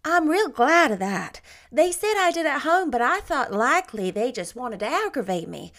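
A woman's voice: high-pitched, very animated, normal speed, a bit bright, voice pushed up, full character voice. A woman voicing an eager, anxious young maid who talks in a folksy, rustic way.

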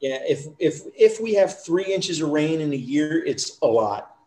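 A man's voice: fairly high pitch, sing-song, a little slow, a little mellow, echoey room. A middle-aged man from the United States, chatting easily as a podcast guest. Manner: easygoing, chatty storytelling. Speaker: interview guest. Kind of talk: casual chat.

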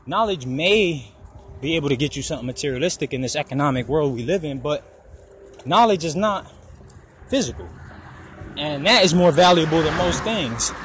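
A man's voice: a little high, animated, moderate pace, a bit bright. A man in his twenties talking casually for his podcast while walking outdoors by a road, rambling and swearing freely. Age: 20s. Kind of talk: casual personal monologue. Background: passing traffic.

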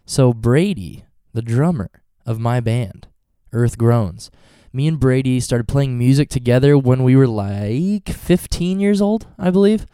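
A man's voice: mid-range voice, animated, measured pace, few pauses, warm tone, smooth, no echo, clean studio sound. A man in his twenties with a stuffy cold, chatting casually in a vlog and wandering off into goofy tangents. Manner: rambling, goofy tangents and jokes. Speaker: vlogger and touring band musician.

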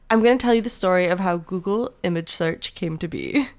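A young woman co-hosting a podcast, chatting casually and laughing often, then reading the show's intro in a plain, even way. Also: on the low side, normal speed. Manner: giggly, reads show intro plainly. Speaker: podcast co-host.

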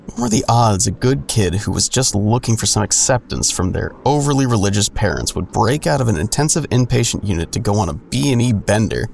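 A man's voice: fairly low pitch, expressive, brisk, creaky, darkish tone, a little hiss or hum. An actor playing a wry, world-weary narrator in an audio drama, telling his story in dry, sardonic asides. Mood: brooding, suspicious. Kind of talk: first-person noir-like audio drama narration.

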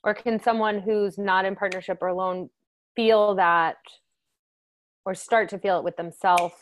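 A woman's voice: medium pitch, steady tone, measured pace, a little hiss or hum. A woman hosting a casual interview, chatty and self-deprecating as she asks questions. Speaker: podcast host.

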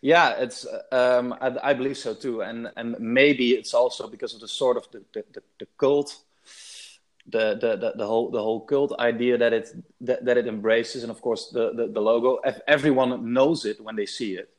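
A man's voice: mid-range voice, fairly even intonation, normal speed. A man from the Netherlands with a Dutch accent, a guest in a casual interview, hesitant and restarting his sentences often. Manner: hesitant, many restarts and repeated words. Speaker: guest, sports fan.

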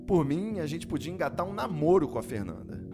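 A man's voice: fairly high pitch, sing-song, a little fast. A Brazilian man voicing a frank, flirty inner voice in an audio drama. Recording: music behind the voice.

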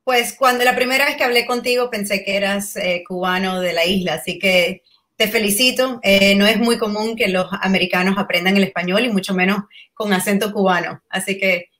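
A woman's voice: medium pitch, steady tone, fairly quick, a bit bright. A woman hosting a Spanish-language interview podcast, asking questions in a friendly way with frequent fillers and hesitations. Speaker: podcast host.

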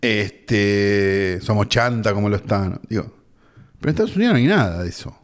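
A man's voice: fairly low pitch, lively intonation, normal speed. A man with a Rioplatense Spanish accent, co-hosting a podcast, with wry, sardonic banter in casual chat. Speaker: podcast co-host.

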